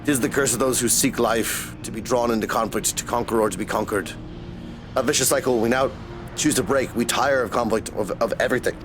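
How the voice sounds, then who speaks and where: A man's voice: medium pitch, close to an everyday voice. A man voicing an ancient, bereaved dragon from a fantasy game, speaking solemnly in archaic phrases over music. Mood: weary, sorrowful. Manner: slow, solemn, archaic storyteller delivery. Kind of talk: dramatic reading of video game dialogue.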